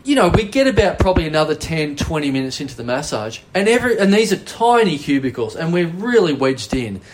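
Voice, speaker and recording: A man's voice: a little high, big pitch swings, fairly quick, slight background noise, rough recording. A man with an Australian accent telling a long, rambling anecdote on a casual podcast, swearing freely and poking fun at himself. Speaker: podcast host. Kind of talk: casual storytelling chat.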